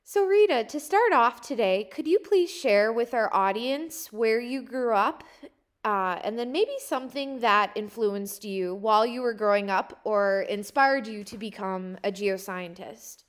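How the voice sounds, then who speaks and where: A woman's voice: a little high, animated, a little slow. A woman hosting a podcast, greeting her guest and posing prepared interview questions in a friendly way. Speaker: podcast host.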